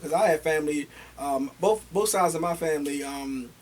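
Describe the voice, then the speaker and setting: A man who co-owns a beauty store, talking casually and warmly, often restarting and repeating his phrases. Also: fairly high pitch, moderate pace. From Baltimore. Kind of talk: casual chat.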